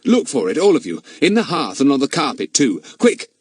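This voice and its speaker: A clever, confident boy leader gives quick, brisk orders in a lifted voice, put on by a man reading aloud. The delivery is lively and up close, with a dry sound. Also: mid-range voice, breathy edge, dry recording, pitched above the performer's own voice. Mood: urgent. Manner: brisk, commanding, a little raised. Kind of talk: audiobook character voice.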